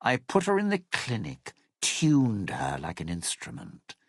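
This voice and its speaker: An actor playing a bitter, scheming lounge singer and thief in a video game, with a husky, gravelly voice and a sardonic, theatrical delivery. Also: male voice, on the low side, wildly swooping pitch, a little slow, dry recording, clean studio sound, exaggerated voice. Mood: wry, calculating. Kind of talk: video game character dialogue.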